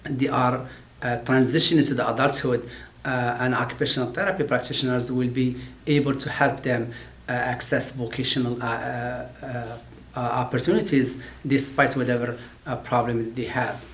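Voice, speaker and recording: A middle-aged man who is not a native English speaker, explaining occupational therapy in an interview, halting with many fillers. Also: medium pitch, steady tone, a bit bright, reverberant. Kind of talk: interview talk about his field.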